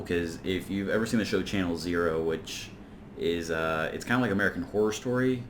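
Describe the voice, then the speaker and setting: A man's voice: deep voice, a little hiss or hum. A man hosting an informal video essay, recapping and commenting in a rambling, conversational way. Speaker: video reviewer and host.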